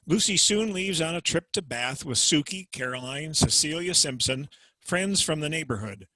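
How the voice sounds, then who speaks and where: Matter-of-fact male presenter, bright tone, dry close sound. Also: fairly high pitch, expressive, moderate pace, no room sound, low-quality recording. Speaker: program host introducing acts. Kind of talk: plain explanatory summary. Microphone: video call.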